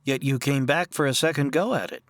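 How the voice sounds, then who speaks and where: A man's voice: mid-range voice, fairly quick, a bit bright, dry recording, studio quality, lightly acted. A man playing a skeptical, probing ship's doctor in a radio sci-fi drama. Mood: curious, surprised. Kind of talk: old-time radio sci-fi drama acting.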